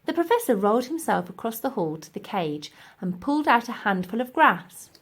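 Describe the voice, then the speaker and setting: A woman narrating a scripted children's audiobook in an expressive, deliberate storytelling manner. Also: on the high side, slightly rough. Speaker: audiobook reader.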